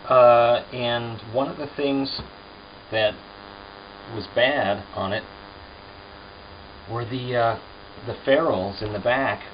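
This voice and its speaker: A man casually explaining guitar repair work in a halting, unscripted, plain-spoken way, as a craftsman hosting his own demonstration. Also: fairly low pitch. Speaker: guitar repairer and restorer, host. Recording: dull lo-fi sound with hum and noise.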